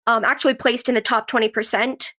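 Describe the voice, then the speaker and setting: Casting: woman, twenties, higher-pitched voice, chatty storyteller for a casual interview. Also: flat delivery, brisk, pushed and bright. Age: 20s. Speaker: podcast guest, actress and writer.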